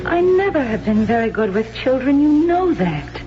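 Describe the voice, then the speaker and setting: A woman's voice: sits high, big pitch swings. An actress playing a frank, weary wife and mother, speaking with earnest emphasis in a 1970s radio drama. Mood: reproachful, regretful. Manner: measured, emphatic, earnest reproach. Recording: muffled old radio broadcast, faint noise.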